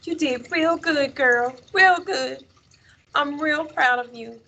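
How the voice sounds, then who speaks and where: A woman's voice: high-pitched, slow, gravelly, pushed and bright, voice pushed up, full character voice. A woman reading a storybook aloud, voicing an earnest, tender-hearted young boy with a pleading, exclaiming delivery. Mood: tender grief. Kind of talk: storybook read-aloud character voice.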